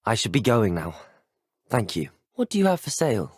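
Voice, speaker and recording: Husky, curious young wizard student. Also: male voice, on the low side, very animated, moderate pace, no echo, studio quality. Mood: polite. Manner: polite, well-spoken, earnest. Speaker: player character in a video game. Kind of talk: video game voice lines.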